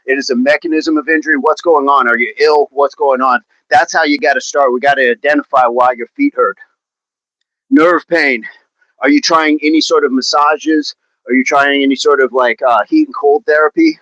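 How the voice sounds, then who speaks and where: A man's voice: medium pitch, steady tone, normal speed, a bit bright, dry recording, lo-fi sound. A man hosting a fitness livestream, chatting casually and answering viewer comments in an upbeat, laughing, repetitive way. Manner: chatty, upbeat, laughs often, repeats phrases.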